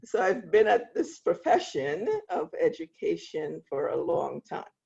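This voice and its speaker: A middle-aged woman from Guyana speaks in a husky, gravelly voice. She tells her story reflectively and laughs often. The video-call audio sounds muffled and lo-fi. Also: low-pitched, animated, unhurried, darkish tone. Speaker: guest, school leader. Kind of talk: interview, personal story.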